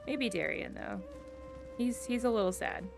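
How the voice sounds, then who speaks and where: A woman gaming streamer giving relaxed, musing, casual commentary as she plays. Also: mid-range voice, moderate pace, creaky, music in the background. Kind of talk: casual game commentary and reading dialogue aloud.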